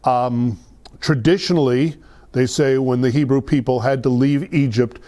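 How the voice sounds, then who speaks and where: Male guest, soft dark tone, informal explainer who gropes a little for words. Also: mid-range voice, fairly even intonation, moderate pace. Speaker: guest explaining holiday traditions. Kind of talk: casual explanatory chat.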